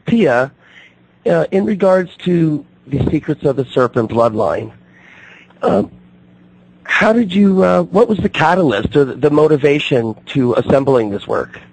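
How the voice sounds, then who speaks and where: Radio host guy, voice shot and coming back, gravelly and husky, lots of uhs and restarts. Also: fairly high pitch, expressive, a little mellow, poor audio. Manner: halting, with many fillers and restarts. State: recovering from losing his voice. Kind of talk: casual radio talk show hosting.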